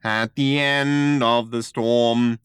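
A man's voice: extremely high pitch, animated, bright, forceful tone, no echo, studio quality, voice pushed up, heavily acted. A man voicing a relentlessly cheerful, over-friendly spaceship computer with a nasal whine, for a comic science fiction story. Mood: crooning. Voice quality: nasal, whining. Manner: chirpy, over-friendly, breaks into slow sentimental song. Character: relentlessly cheerful chirpy male shipboard computer, whiny crooner.

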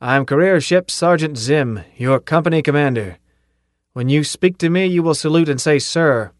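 A man reading an audiobook, voicing a loud, tough male drill sergeant who bellows orders and insults. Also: medium pitch, measured pace, no echo, studio quality, natural voice. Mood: barking.

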